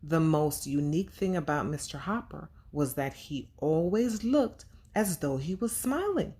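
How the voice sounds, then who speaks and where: A woman's voice: deep voice, animated, a little slow, warm tone, husky. A woman hosting a children's read-aloud and lesson, speaking expressively and addressing young listeners directly.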